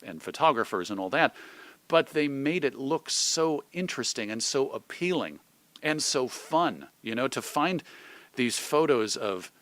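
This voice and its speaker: A middle-aged American man talking as an interview guest in an animated, thoughtful, digressive conversational way. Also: mid-range voice, measured pace, no room sound. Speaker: interview guest, magazine publisher and radio host.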